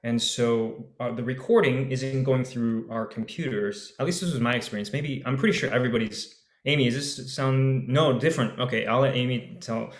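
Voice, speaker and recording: A man casually talking about acting in a radio play, loose and animated, with lots of fillers and restarts, on a home setup over a video call. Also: mid-range voice, normal speed, reverberant. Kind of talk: casual panel discussion.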